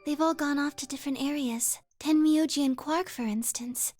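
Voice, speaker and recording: Actress as a high, gentle, precise caretaker. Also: female voice, normal speed, no echo, natural voice. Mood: calm, informative. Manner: polite, precise, explanatory. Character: gentle, dutiful, composed young female caretaker. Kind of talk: video game voice acting. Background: game music.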